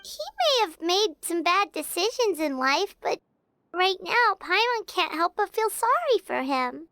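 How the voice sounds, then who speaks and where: A pint-sized floating companion with a very high, sing-song voice. She chatters brightly and calls herself by name in the third person. It sounds like a video game. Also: very animated, a little slow, dry recording, clean studio sound, heavily acted. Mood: sympathetic.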